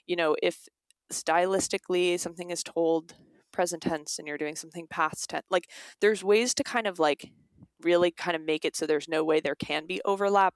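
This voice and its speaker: A woman's voice: fairly low pitch, smooth, dry recording. A young woman speaking casually as an interview guest, informal and peppered with "like" and quoted asides. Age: young adult. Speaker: guest, author.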